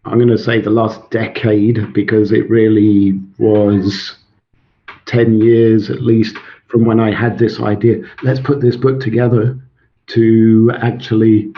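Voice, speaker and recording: A man in his forties, born in Sweden with Swedish as his first language, a teacher and author talking in an interview, thoughtful and full of pauses and fillers. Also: fairly low pitch, level tone, muffled recording, slight background noise. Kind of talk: interview, casual talk.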